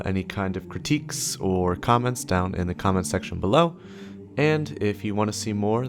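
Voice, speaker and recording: A man's voice: low-pitched, gravelly. A man reading scripted dramatic lore narration as a storyteller and channel host, with music behind him.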